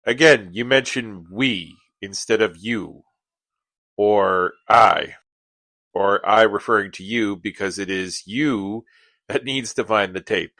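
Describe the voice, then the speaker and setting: A man playing a skeptical, reluctant buddy, the wry straight man in a comedic radio play, questioning in a deliberate way. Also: lowish voice, bright, forceful tone, no room sound, exaggerated voice. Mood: wry, pointed.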